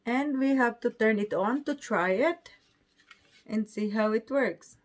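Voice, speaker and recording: A woman's voice: a little high, a little slow. A woman with a Filipino accent chatting casually in non-native English as she presents an unboxing vlog. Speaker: vlogger.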